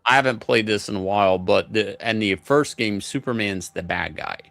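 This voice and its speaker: A man's voice: on the low side, normal speed, gravelly, a bit bright, dry recording. A male co-commentator chatting casually and explaining game lore in a slangy, explanatory way. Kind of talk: casual chat.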